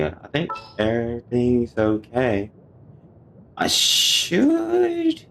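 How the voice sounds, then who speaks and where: A man's voice: mid-range voice, vocal fry, a bit bright, slight background noise. A man giving casual live gameplay commentary as a streamer, muttering short remarks to himself. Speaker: game streamer.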